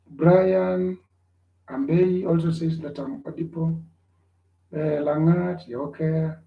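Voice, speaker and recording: A man's voice: on the high side, creaky, roomy sound, muffled recording. A man giving an online class lecture, repeating himself often and checking whether his students can hear him. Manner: repetitive, checks on listeners.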